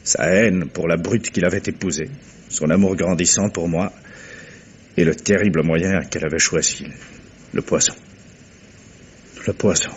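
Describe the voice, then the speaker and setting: A man acting an anguished, stubborn gentleman with solemn, declamatory delivery in a 1950s French radio mystery. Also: low voice, steady tone, measured pace, long pauses, muffled recording. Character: anguished, stubborn wealthy gentleman confiding a dark secret. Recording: noisy vintage radio recording.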